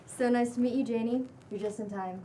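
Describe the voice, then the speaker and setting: A woman's voice: a little high, steady tone, a little fast, dark tone, far from the mic, a little hiss or hum, natural voice. A woman at a table read playing a beaming, friendly, upbeat housemate who is a social media shaman. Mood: welcoming. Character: beaming, welcoming nonbinary TikTok shaman housemate. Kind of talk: table read acting of a pilot script.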